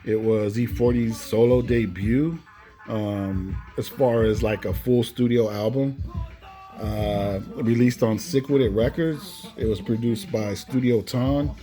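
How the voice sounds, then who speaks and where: A man's voice: mid-range voice, fairly even intonation, slow, dark tone. A man casually presenting a collection in an informal way, often ending phrases with "man". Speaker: music collector presenting cassettes. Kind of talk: casual collection showcase commentary. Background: music playing behind the voice.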